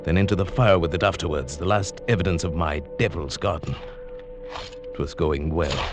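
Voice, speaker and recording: An actor playing a scheming professor who narrates his own wife-murder, in a smooth, quietly gloating 1940s radio drama style. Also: low voice, normal speed. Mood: cold narration. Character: scheming middle-aged male professor, cold wife-murderer. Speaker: narrator and lead character. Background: music.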